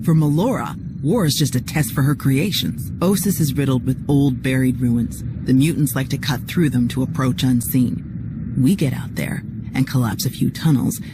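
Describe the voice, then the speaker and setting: A woman's voice: very deep voice, normal speed, noisy background. A woman playing a tough, cocky female security chief in a game cutscene, blunt and wry in manner. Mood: wry, matter-of-fact. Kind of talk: video game cutscene acting. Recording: music under the voice.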